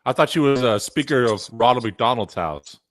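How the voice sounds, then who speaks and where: A man with a mid-pitched voice chats casually as a live stream panelist. He is wisecracking and informal, recorded with a dry, echo-free sound. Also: lively intonation, moderate pace, fairly bright tone. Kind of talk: casual joking chat.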